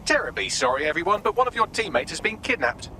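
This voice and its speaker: A sardonic AI assistant. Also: male voice, high-pitched, big pitch swings, quick pace, bright, forceful tone, a little hiss or hum, full character voice. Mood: wry. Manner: dry, sardonic. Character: witty male AI assistant, dry sarcastic.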